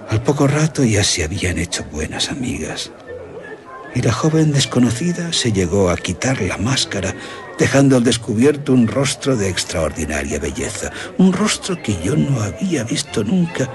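Courtly old general, animated delivery over music. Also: male voice, mid-range voice. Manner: formal, measured, theatrical. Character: aging aristocratic general recounting a haunting memory, courtly, insistent. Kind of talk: Spanish radio drama, literary narration and dialogue. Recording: radio drama with music underneath.

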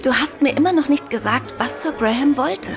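Eine Schauspielerin spielt in einem Hörspiel die schlagfertige, hartnäckige Ehefrau eines Detektivs, wissend und sanft spöttisch. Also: female voice, high voice, moderate pace, over music. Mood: teasing, insistent. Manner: knowing, gently mocking. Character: witty, persistent wife of a gentleman detective. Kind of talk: German radio play acting.

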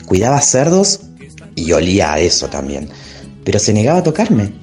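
A man acts out a flamboyant travesti poet confiding a diary. The voice is very deep, wry and theatrical, proud of itself and frank. Soft music plays behind the monologue. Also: huge pitch swings, moderate pace. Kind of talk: dramatic literary monologue over music.

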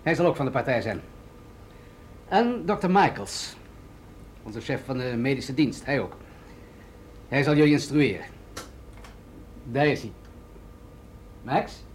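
An actor playing an authoritative, insistent military general in a radio drama, with a measured, persuasive delivery. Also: male voice, medium pitch, lively intonation, a little hiss or hum, close to an everyday voice. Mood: businesslike. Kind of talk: Dutch radio drama acting.

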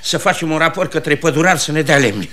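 A pompous small-town mayor in a theatrical radio comedy. The voice is high and bright, leaping around in pitch. The delivery is excitable and full of indignant exclamation. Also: male voice, slight background noise. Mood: agitated, indignant. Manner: agitated, exclamatory.

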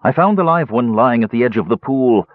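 A man voicing a composed male dark elf who speaks in a cool, measured tone. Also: medium pitch, expressive, no room sound, phone-quality sound, pitched above the performer's own voice, lightly acted.

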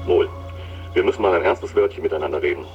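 Man playing brusque male corporate boss, pompous, officious, rapid delivery, dull lo-fi sound, radio drama. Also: low voice, loud music behind the voice, natural voice. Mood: officious, demanding. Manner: officious, bureaucratic, commanding. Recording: dull lo-fi sound with faint noise.

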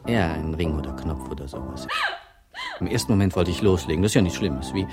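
A man's voice: sits low, a little fast, over music, lightly acted. An actor playing a rambling, fussily imprecise man who recounts his ordinary day in a hesitant, self-correcting way in a radio play. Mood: searching, hesitant. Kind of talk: experimental radio play monologue.

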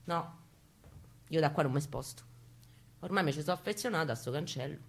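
A woman's voice: low voice, a little fast, vocal fry, pitched below the performer's own voice. A woman voicing a hot-headed young male militant with a Roman accent in a slangy, defiant spoken-word reading. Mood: stubborn. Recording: live guitar under the voice.

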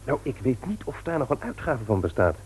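A man's voice: medium pitch, very animated, a little fast, darkish tone, a little hiss or hum, natural voice. An actor playing a polite, obliging bookshop owner in a radio play, with hesitant salesman's patter. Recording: old radio drama recording.

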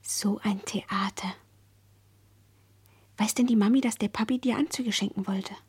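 A woman's voice: medium pitch, steady tone, measured pace, darkish tone, husky, dry recording. A woman playing a curious, probing girl in a radio play, inquisitive in manner. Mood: probing, puzzled. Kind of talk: German radio play acting.

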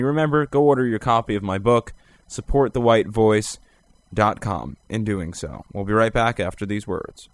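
Emphatic male podcast host. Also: on the low side. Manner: emphatic, declarative delivery. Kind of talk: talk show hosting and interviewing.

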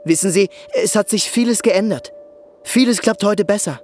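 An actor playing a courteous, earnest party official in a radio drama, speaking politely and persuasively. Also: male voice, sits high, moderate pace, a little mellow, faint music behind.

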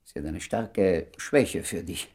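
A doctor talking as an old friend in a radio drama. His voice sits fairly low. He is dry and understated, with a concerned, probing undertone. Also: slow, darkish tone. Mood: teasing. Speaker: actor in a radio play. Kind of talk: conversational German radio play acting.

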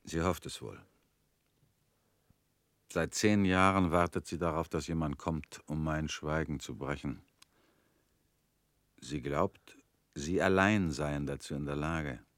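An actor playing a bitter former convict in a radio play, talking in a measured, brooding way. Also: male voice, a bass voice, fairly bright tone, no echo, exaggerated voice.

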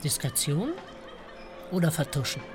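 A woman's voice: low voice, lively intonation, unhurried. Wry woman, sceptical, cynical, probing, radio play dialogue, music behind. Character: sceptical, wry female colleague of an investigator. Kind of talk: radio drama, conversational dialogue.

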